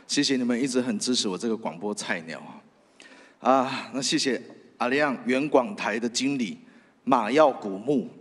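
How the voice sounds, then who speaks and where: A man with a Taiwanese Mandarin accent giving an earnest, halting acceptance speech into a stand microphone on a theatre stage. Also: mid-range voice, expressive, breathy edge. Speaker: radio host, award winner. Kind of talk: award acceptance speech, partly read from phone. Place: large theatre stage at awards ceremony. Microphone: stand microphone, close. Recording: big hall reverb over PA.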